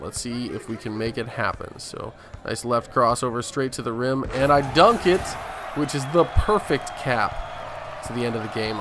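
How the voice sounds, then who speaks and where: A man hosting a gaming video, giving casual, conversational play-by-play of a basketball game. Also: mid-range voice. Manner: conversational play-by-play in present tense. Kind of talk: casual gameplay commentary. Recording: clear game noise behind voice. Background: basketball game sounds.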